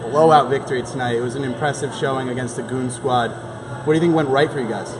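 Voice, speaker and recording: A man's voice: mid-range voice, fairly even intonation, fast. A young man hosting a postgame basketball show, talking in an interview and commentary style. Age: young adult. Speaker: sports show host. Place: large indoor basketball gym. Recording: gym ambience with background crowd noise.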